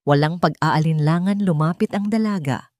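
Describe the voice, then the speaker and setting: A woman's voice: low voice, moderate pace, dark tone. A woman with a Filipino accent reading a scripted, dramatic story as an audiobook narrator.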